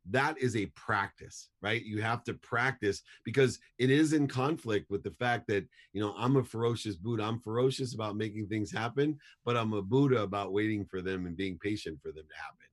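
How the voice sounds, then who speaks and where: A man's voice: lowish voice, a little fast, hardly any pauses. Emphatic motivational man in his fifties. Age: 50s. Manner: emphatic, punchy, repeats key phrases for effect. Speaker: guest, entrepreneur and motivational speaker. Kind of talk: energetic motivational storytelling.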